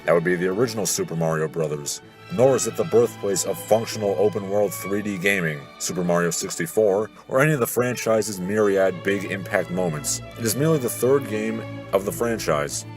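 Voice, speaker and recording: A man with a very deep voice reads as if for an audiobook. His delivery is pompous and theatrical, with grand, formal diction. Classical music plays behind him. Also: a little fast. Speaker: audiobook narrator, video host. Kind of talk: scripted audiobook reading with introduction. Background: classical orchestral music.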